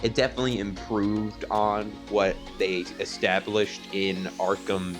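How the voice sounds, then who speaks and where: Halting, low-voiced man on a podcast. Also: little pitch movement, over music. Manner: halting, hesitant delivery. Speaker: podcast guest. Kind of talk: casual gaming podcast talk.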